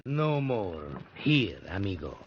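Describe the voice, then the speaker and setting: An actor playing a sinister, oily Argentine fight manager with a Spanish accent, deliberate and given to repeating words, in radio drama style. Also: male voice, on the low side, very animated, slight background noise. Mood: conspiratorial. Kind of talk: 1940s-50s radio drama acting. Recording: muffled vintage radio recording.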